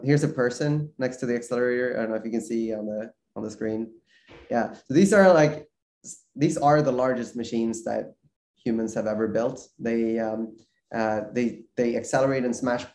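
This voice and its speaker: A man's voice: medium pitch, steady tone, normal speed, darkish tone, reverberant, slight background noise. A man from Sweden, a guest on a video call interview, talking casually with many fillers and restarts. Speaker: guest researcher.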